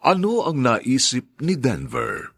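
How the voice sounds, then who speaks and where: A man with a Filipino accent narrating as a radio drama announcer, with theatrical, drawn-out phrasing. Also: medium pitch, huge pitch swings, a bit bright.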